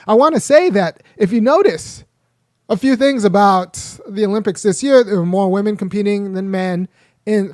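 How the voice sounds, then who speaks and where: A man's voice: sits high, darkish tone, no echo. Talkative man in a dry, close room. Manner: rambling, frequent restarts and fillers. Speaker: host. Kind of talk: casual commentary monologue.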